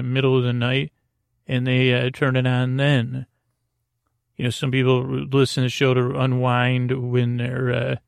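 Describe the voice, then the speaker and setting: A man hosting a bedtime podcast in a gentle, reassuring, halting monologue that meanders and over-explains, with a creaky voice. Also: medium pitch, no echo, clean studio sound. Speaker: podcast host.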